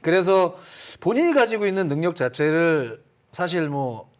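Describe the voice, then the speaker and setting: Casting: male sports analyst, high voice with animated intonation, explanatory panel talk. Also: moderate pace, a crowd in the background. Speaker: baseball analyst.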